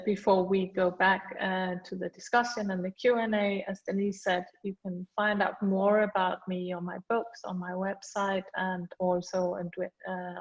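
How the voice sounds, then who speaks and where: Danish-accented woman author, hesitant. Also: fairly low pitch. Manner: hesitant with many fillers and restarts. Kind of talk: reading aloud then thanking people at an online book launch. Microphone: video call. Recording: dull lo-fi video call audio.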